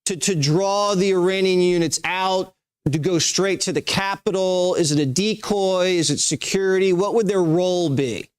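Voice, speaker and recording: A male TV news host with a high voice that comes through smooth and resonant. He puts his questions briskly and with a wry edge. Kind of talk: TV news interview.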